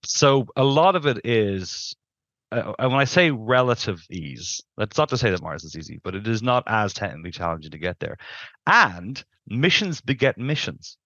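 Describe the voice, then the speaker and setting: A man's voice: lowish voice, sing-song, normal speed, bright, forceful tone, no echo, dull audio. A middle-aged man explaining things as an expert interview guest, emphatic and discursive, on a headset in a video call. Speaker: planetary scientist, interview guest.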